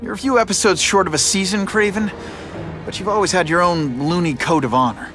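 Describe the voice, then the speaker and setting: A man playing a wisecracking young superhero, high-voiced. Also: brisk, lightly acted. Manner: quippy, sarcastic banter. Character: young male masked hero, wisecracking and principled. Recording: game cutscene audio with music underneath.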